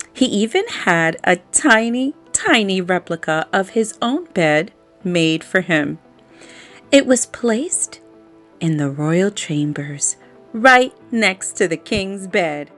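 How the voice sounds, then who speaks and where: A woman telling a children's bedtime story aloud in an expressive way, adding playful sound effects. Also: mid-range voice.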